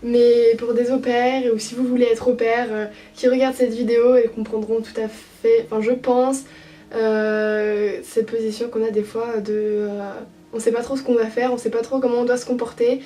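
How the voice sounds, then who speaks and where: A young woman from France chats through her thoughts in a hesitant vlog, stopping often to restart her sentences. Her voice sits a little high yet sounds mellow. She is heard from some distance from the mic, with faint music playing underneath. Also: little pitch movement, normal speed. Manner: hesitant, many fillers and restarts. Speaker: au pair vlogger. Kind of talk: casual reflective monologue.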